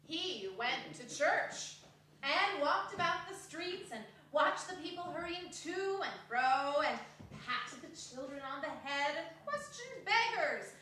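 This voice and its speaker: A woman's voice: high-pitched, animated, takes it slowly, sharp tone. A woman narrating a classic story in a theatrical storytelling manner, as a staged dramatic reading. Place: theatre or arts hall, live performance. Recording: echoey hall, distant microphone, faint noise.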